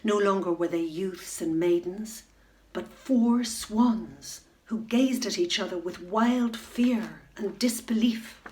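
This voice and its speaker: A middle-aged woman reading a children's bedtime story, unhurried and expressive, dropping to a hush at tense moments. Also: medium pitch, low-quality recording. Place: room at home, indoors. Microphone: webcam facing the reader.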